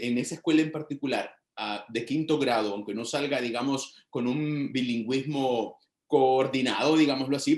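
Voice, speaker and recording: A man presenting research findings in an academic discussion, speaking in a measured, explanatory way with frequent restarts. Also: medium pitch. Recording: roomy echo.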